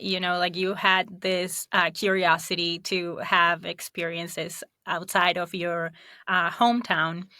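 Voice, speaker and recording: A woman's voice: on the low side, bright, forceful tone, no room sound. A woman hosting a podcast interview, upbeat and asking curious questions. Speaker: podcast host and career coach.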